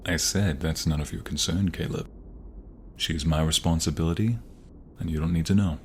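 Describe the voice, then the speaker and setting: A man acts a young mobster with a romantic, protective streak in an ASMR roleplay. The voice is low and intimate, with hesitant pauses, over faint background noise. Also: fairly even intonation. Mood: guarded, protective. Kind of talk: ASMR audio roleplay acting.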